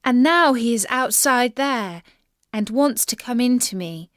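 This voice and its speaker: A woman's voice: lively intonation, measured pace, a bit bright, dry recording, clean studio sound. A woman playing a spoiled, dainty young princess in a children's storybook, speaking in formal, old-fashioned phrases. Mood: upset protesting. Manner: formal old-fashioned speech, high and protesting.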